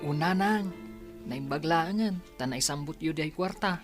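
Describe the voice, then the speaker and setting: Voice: medium pitch, unhurried, faint music behind, natural voice. An actor in an Ilocano radio drama playing a dutiful, determined young student who speaks earnestly and reassuringly to a parent.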